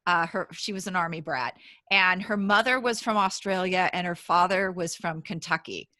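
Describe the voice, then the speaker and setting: A woman hosts a chatty podcast interview, her voice bright and forceful. She laughs often and trips over her own sentences as she goes. The sound is dry and close, with no room in it. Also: lowish voice, normal speed, no echo. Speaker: podcast host.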